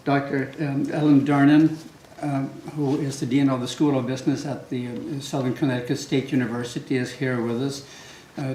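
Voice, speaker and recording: An older man with a Swedish accent, opening a conference with relaxed, joking remarks and many fillers. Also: medium pitch. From Sweden. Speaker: conference host. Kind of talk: welcoming remarks. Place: large lecture hall. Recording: echoey hall, faint background hum.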